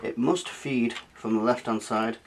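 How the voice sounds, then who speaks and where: A man's voice: medium pitch, a little slow, background noise. A British man talking informally and chattily through a casual hands-on review and tutorial as the host. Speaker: host of a retro tech review channel. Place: small cluttered indoor room at a desk.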